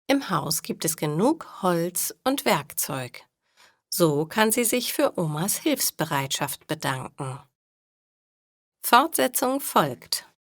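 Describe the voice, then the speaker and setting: Slow, animated woman narrating learner stories. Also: on the low side, no echo, clean studio sound. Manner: clearly phrased, deliberate. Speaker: story narrator for language learners. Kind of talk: scripted narration, educational storytelling.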